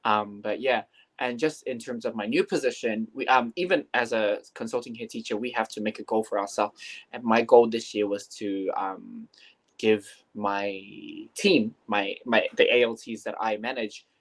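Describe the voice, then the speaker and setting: A man's voice: fairly low pitch, normal speed. A young man from New Zealand talks casually as an interview guest. Māori was his first language, and he rambles, circling back with fillers and restarts. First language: Te Reo Māori. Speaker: guest teacher.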